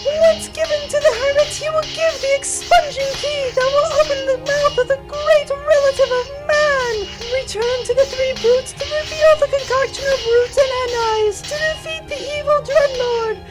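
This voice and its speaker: Very high creepy little boy. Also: fairly even intonation, normal speed, hardly any pauses, over music, rough recording. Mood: eerie, solemn. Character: creepy little boy chanting cryptic prophecy.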